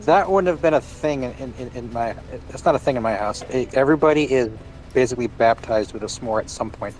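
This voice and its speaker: A man with an ordinary mid-range voice chats casually. He speaks haltingly and often repeats a word before he gets to the point. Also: mid-range voice, darkish tone, soft background music, poor audio. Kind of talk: casual chat.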